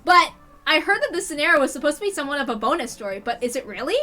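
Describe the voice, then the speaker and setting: A woman's voice: high voice, very animated, rapid-fire, bright, forceful tone, soft background music, exaggerated voice. A woman voicing a brash, skeptical, teasing teenage girl in a visual novel. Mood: brash, insistent.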